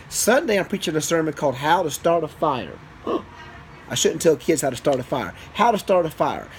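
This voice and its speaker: Preacher dad telling a story, noisy recording. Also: male voice, fairly high pitch. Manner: animated, interactive, checks on listener with questions. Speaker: preacher and father telling a child a Bible story. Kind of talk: improvised children's Bible storytelling. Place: small office in a house. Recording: noisy amateur home recording.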